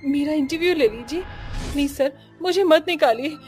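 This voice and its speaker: Desperate pregnant woman, voiced by an actress, high pitch, tearful begging, cartoon melodrama, music behind. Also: normal speed, darkish tone, natural voice. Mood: pleading. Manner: pleading, tearful.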